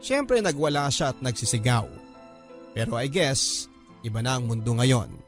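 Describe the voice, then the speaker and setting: A man's voice: medium pitch, expressive, measured pace. A man with a Filipino accent reading a scripted first-person story as a radio drama narrator. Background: music.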